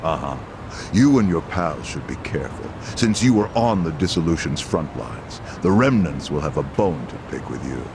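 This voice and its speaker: An actor playing a wary, streetwise underworld informant with casual confidence in a video game scene. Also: male voice, a bass voice, expressive, moderate pace, vocal fry, background noise, exaggerated voice. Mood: wary, matter-of-fact. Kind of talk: video game dub acting.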